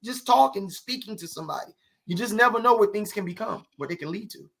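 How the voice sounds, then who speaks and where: A man with a notably high-pitched voice talks in a conversational interview. He tells stories loosely, punctuating them with rhetorical questions and small check-ins to make his point. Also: animated, quick pace, creaky, a bit bright. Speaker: podcast guest, actor.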